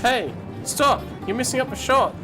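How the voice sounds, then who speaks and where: A woman's voice: on the high side, lively intonation, moderate pace, loud music behind the voice, close to an everyday voice. A woman voicing a pretentious, bossy, scheming pony film director in a parody cartoon, theatrical in manner. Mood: indignant.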